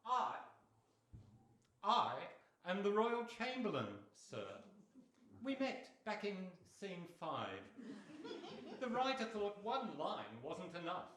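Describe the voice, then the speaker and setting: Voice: medium pitch, sing-song, takes it slowly, pauses often, fairly bright tone. A performer playing a deferential, pedantic Royal Chamberlain who hesitates and politely corrects, in a live radio play. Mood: hesitant, deferential. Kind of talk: live radio theatre acting. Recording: distant mic in an echoey hall.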